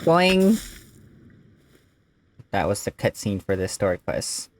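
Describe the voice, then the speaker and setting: A man's voice: on the low side, sing-song, slow, a little hiss or hum. A man hosting a gaming video, reacting casually in an exclamatory, slangy way. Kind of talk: casual game reaction commentary. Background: game music.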